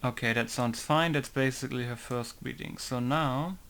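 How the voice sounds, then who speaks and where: A man's voice: medium pitch, normal speed, fairly bright tone. Rambling man thinking aloud in a tutorial. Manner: rambling, thinking aloud, leans on "basically" and "right?". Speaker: game modder walking through a tutorial. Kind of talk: casual screen-recorded tutorial.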